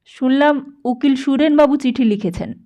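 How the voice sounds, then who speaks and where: A woman's voice: sits high, normal speed, dark tone, dull audio, lightly acted. A woman voicing a reserved, polite male estate employee in an audiobook, answering briefly and with indifference. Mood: polite, indifferent. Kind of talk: dramatised audiobook dialogue.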